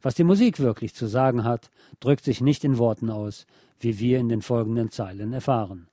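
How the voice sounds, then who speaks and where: A man narrates a radio feature with a soft, dark tone and medium pitch. His delivery is measured and factual, reading polished script in a very dry studio sound. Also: no echo.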